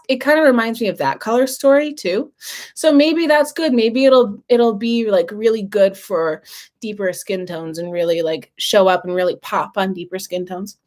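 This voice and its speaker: A woman chatting casually in a makeup review vlog, her voice husky and a little hoarse as if she is losing it, often clearing her throat between fillers. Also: fairly high pitch. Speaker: beauty vlogger.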